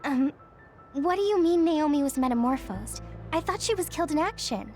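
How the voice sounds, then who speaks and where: A woman's voice: sits high, animated, moderate pace, a little mellow, soft background music. A woman voicing an earnest, polite young female recruit in a video game, with a hesitant way of talking. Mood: bewildered. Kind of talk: English video game voice acting.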